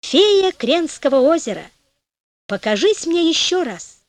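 Gentle old wanderer, kind and wise, in a dry, close storybook read by a woman. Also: very high voice, very animated, unhurried, dry recording, voice pushed up, heavily acted. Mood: earnest.

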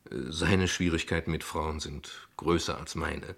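An actor playing a guarded, ironic father in a radio play, speaking in measured, eloquent, formal sentences. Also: very deep voice, steady tone, vocal fry, fairly bright tone, slightly rough, exaggerated voice. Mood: ironic, defensive. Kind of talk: radio play dialogue acting.

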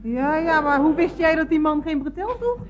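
A high, animated, sceptical questioner. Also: female voice, normal speed. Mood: puzzled. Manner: questioning, interjecting. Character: curious, sceptical woman prodding the storyteller. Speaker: actor in a radio comedy serial. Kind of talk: 1970s radio comedy acting. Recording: muffled old radio recording with crowd noise.

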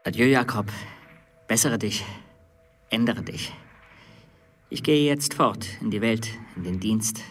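An actor playing an earnest, stern and dutiful pupil in a literary radio drama, speaking in solemn, measured, formal declamation. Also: male voice, lowish voice, a bit bright, soft background music. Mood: stern admonishing.

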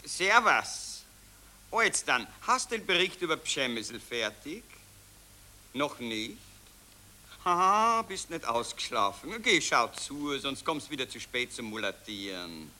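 Male actor for an old general: jovial, bossy, wheedling, bright and slow. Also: medium pitch, very animated, heavily acted. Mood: joking, bossy. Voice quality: chummy, wheedling, mock-confiding. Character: Viennese general on the phone, joking and bossy. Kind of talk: radio play acting.

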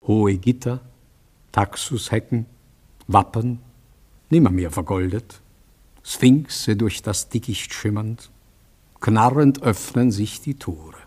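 A man reciting poetry as a dramatic prologue in a theatrical way, lingering over the phrases with breathy softness. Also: medium pitch.

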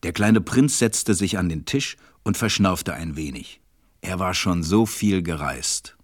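Very deep-voiced male storybook narrator. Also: measured pace.

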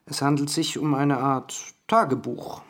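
An actor playing an earnest, insistent investigative journalist in a radio drama interview scene. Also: male voice, mid-range voice, fairly even intonation, measured pace, fairly bright tone, close to an everyday voice.

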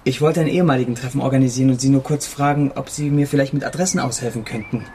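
A man's voice: medium pitch, fairly even intonation, racing, dark tone, husky. A young man playing a caring, earnest former pupil in a radio crime drama, speaking politely and tentatively.

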